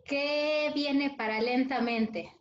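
A woman's voice: high-pitched, slow pace. A young woman journalist hosting an entertainment interview, friendly and asking questions with fillers over a video call. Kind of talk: radio news interview. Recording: echoey, dull room with faint noise.

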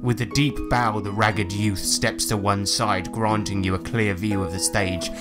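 A man narrating a tabletop game as game master, reading dramatic descriptions in a measured, scripted way. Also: lowish voice, steady tone. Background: music.

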